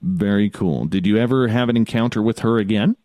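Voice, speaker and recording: A man's voice: fairly low pitch, brisk, a little mellow, no echo. A man hosting a late-night radio show, chatting casually and joking over word mix-ups.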